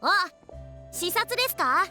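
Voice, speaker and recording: An actress playing a confident, tough and proud female athletic coach in an anime-style video game, energetic and brisk. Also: very high-pitched, soft background music, full character voice.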